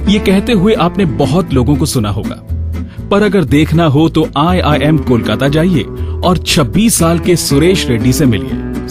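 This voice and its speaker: A man presenting a radio show in a scripted narration style. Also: a little high. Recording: music bed behind the voice. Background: background music with guitar.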